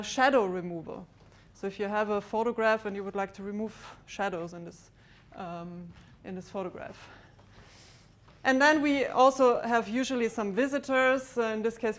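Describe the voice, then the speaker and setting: A woman giving a maths lecture to students in a large lecture theatre, pausing often with ums and restarts. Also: on the high side. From Austria. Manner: hesitant, many ums and restarts, walks about with a pointer. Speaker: mathematics lecturer.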